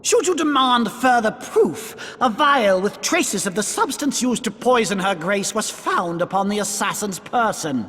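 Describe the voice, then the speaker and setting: A woman's voice: medium pitch, expressive, a little slow, hardly any pauses, a bit bright, slight background noise. A woman playing a smug, cold political schemer in a video game, theatrical and archly formal. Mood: accusing, smug. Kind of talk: video game cutscene acting. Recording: long reverb with music behind.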